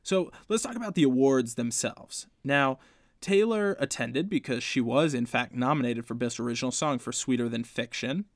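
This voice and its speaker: A man's voice: medium pitch, normal speed, dry recording, studio quality. A man hosting a casual fan podcast, chatty and playful, laughing often. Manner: playful, laughs often, animated when quoting. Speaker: podcast host.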